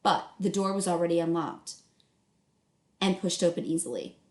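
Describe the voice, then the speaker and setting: A woman reading a children's book aloud as a teacher, instructive, pausing to put questions to students. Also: fairly low pitch, expressive, darkish tone. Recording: echoey room.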